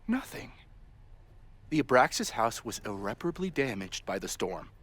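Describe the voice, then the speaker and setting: A man's voice: mid-range voice, very animated, normal speed, fairly bright tone, husky. A man playing a harried, wry university society president in a video game, theatrical and sarcastic in an exasperated way. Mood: exasperated, defensive. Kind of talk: naturalistic video game acting.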